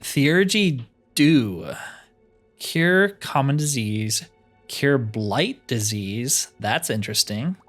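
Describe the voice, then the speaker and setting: A man's voice: mid-range voice, lively intonation, extremely slow, faint music behind. A man giving casual gameplay commentary in a laid-back way, musing to himself as he plays. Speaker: gaming streamer.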